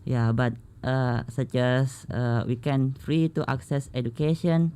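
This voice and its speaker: A man's voice: medium pitch, flat delivery, measured pace, mellow, slight background noise. A man with an Indonesian accent, a radio guest answering briefly and politely with hesitations.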